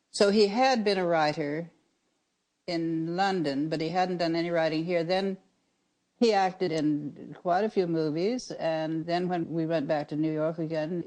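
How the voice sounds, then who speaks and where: An older woman reminiscing as a radio writer, telling anecdotes in an unhurried, chuckling way. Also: low-pitched, dark tone. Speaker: radio writer recalling her work. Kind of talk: spoken reminiscence.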